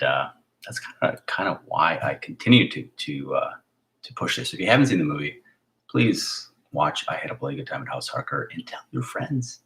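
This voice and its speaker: A man with a raspy voice hosting a casual live stream as a filmmaker, rambling in a chatty way and sometimes dropping to a whisper. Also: a bass voice, rough recording. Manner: hushed at times, drifting into whispers; chatty, with fillers and restarts.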